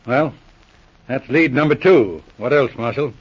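An actor playing a brusque, authoritative police sergeant in 1940s radio drama style. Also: male voice, medium pitch, unhurried, breathy edge. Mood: firm, interrogating. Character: brisk, commanding police sergeant of the Mounties. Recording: muffled old radio recording.

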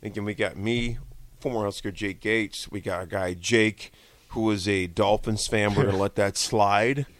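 A man hosting a sports talk radio show, excitable and jokey as he introduces a guest. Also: fairly low pitch, normal speed, dry recording.

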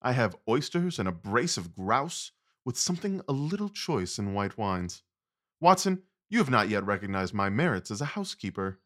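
An actor playing a confident, commanding gentleman detective, wry and precise, in an audio drama. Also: lowish voice, big pitch swings, normal speed. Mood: commanding, brisk.

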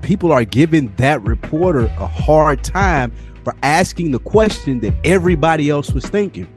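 A man's voice: fairly high pitch, expressive, normal speed, faint music behind. A man in a casual but heated sports podcast debate, emphatic and argumentative, repeating phrases for stress and using slangy, profane language. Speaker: podcast co-host.

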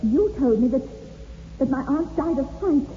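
High-voiced, frightened heroine, cavernous old radio. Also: female voice, expressive, normal speed, warm tone. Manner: anxious, hesitant. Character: frightened, trusting young heiress in haunted house. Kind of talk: 1960s radio horror acting. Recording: dull, reverberant, noisy old radio recording.

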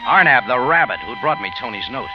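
A man's voice: medium pitch, big pitch swings, a little fast, lightly acted. An actor playing a tough, wry American private eye who narrates his case in clipped 1940s radio noir style. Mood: brooding, tense. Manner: clipped, hard-boiled delivery. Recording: dull old radio recording with loud music.

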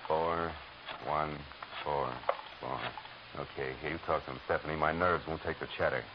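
A deep-voiced hard-boiled private eye. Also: male voice, fairly even intonation, moderate pace. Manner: dry, sardonic wisecracks. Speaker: narrator and detective. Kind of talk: 1940s radio acting. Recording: hissy dull old radio broadcast.

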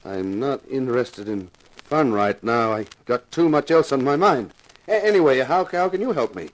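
An actor playing a wisecracking, persuasive TV detective star turned amateur sleuth in a 1950s radio detective drama. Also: male voice, mid-range voice, animated, normal speed, close to an everyday voice, poor audio. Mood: guarded. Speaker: lead actor and narrator. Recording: vintage 1950s radio recording.

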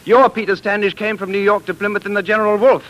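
An actor playing an anguished, passionate time traveler in love, in theatrical 1940s radio drama style. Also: male voice, sits high, level tone, quick pace, a bit bright, rough recording. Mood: angry, scornful. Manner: impassioned, theatrical.

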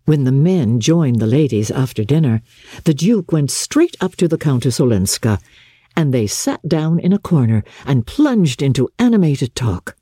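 Expressive woman narrator, husky and mellow. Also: a bass voice, no echo, studio quality. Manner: measured, expressive storytelling. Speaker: audiobook narrator and radio presenter. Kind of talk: scripted dramatic reading of a classic novel.

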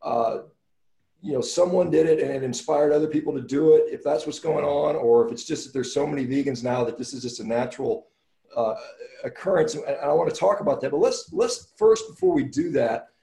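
A man's voice: fairly high pitch, lively intonation, fairly quick, vocal fry, dark tone. An American man in middle age hosting a casual podcast interview, talking in an informal, rambling way. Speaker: podcast host. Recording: roomy, echoing sound.

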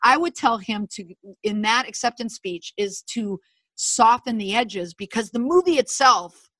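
Opinionated woman co-host, bright, forceful voice, higher pitch, dry close sound. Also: a little slow, no room sound. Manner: opinionated, animated, laughs often. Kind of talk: casual commentary chat.

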